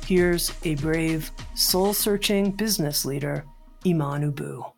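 A woman host reading a scripted introduction of her guest and then asking an opening question in a measured, deliberate way. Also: low voice, creaky. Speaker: podcast host. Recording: faint music underneath.

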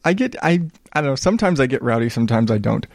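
A man in his thirties hosting his own podcast, rambling casually in a calm, smooth voice and poking fun at himself. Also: mid-range voice, quick pace, no room sound, clean studio sound. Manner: rambling, self-deprecating, reads reviews aloud. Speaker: podcast host. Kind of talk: casual solo podcast monologue.

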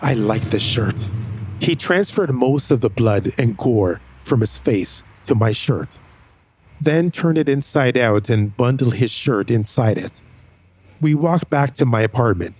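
A man narrating a first-person audio drama with expressive delivery. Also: measured pace, husky, no room sound. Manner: expressive, rising pitch in dialogue.

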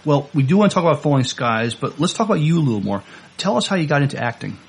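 A man's voice: fairly high pitch, sing-song, rapid-fire, gravelly, slightly rough. A man hosting a podcast interview, introducing and questioning his guest in a courteous, somewhat formal way.

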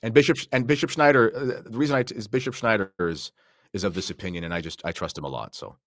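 A man's voice: on the low side, quick pace, a bit bright, dry recording, studio quality. A man talking as a podcast guest in a conversational religious discussion, making careful, qualified counterarguments.

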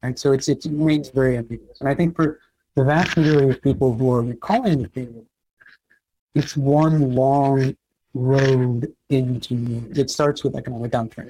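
A man answering interview questions about history, measured and explanatory, often checking in with "right?". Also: mid-range voice, a little mellow, a little hiss or hum, poor audio. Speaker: historian guest.

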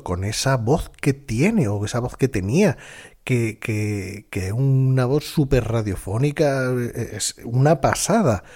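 A man from chatting casually, telling animated anecdotes with hesitant fillers. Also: fairly low pitch. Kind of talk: casual chat.

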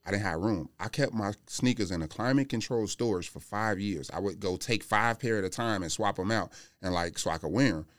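Lowish smooth guy on a podcast, plain talking, casual, dry studio sound. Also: brisk, few pauses, no room sound. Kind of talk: casual podcast chat about sneakers.

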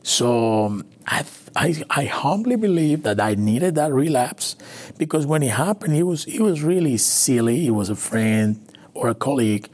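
A man's voice: mid-range voice, expressive, darkish tone, slightly rough. Spanish-accented man telling his story. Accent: Spanish-speaking Latin American. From Venezuela. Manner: halting, with frequent restarts and fillers. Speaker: interview guest. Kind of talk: personal recovery story, conversational.

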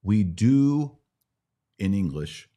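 A middle-aged American man hosting a religious Q&A, explaining things casually like a teacher and often checking in with a quick "right?". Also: lowish voice, sing-song, mellow. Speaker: podcast host answering Bible questions.

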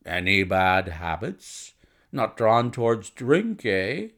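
Man acting blunt, bustling gentleman, slow emphatic delivery. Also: fairly low pitch, very animated, voice pushed down, exaggerated voice. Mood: blunt, probing. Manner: brisk, abrupt, emphatic, drawn-out stressed words. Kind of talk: audiobook character voice.

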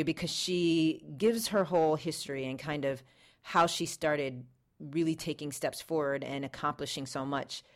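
A woman in her thirties, the podcast host, introducing an episode in a chatty, reflective way. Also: low voice. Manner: chatty, reflective, lots of "you know".

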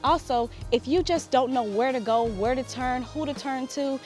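A woman's voice: sits high, normal speed, darkish tone, soft background music. A woman giving an upbeat closing announcement for a church, talking directly to viewers.

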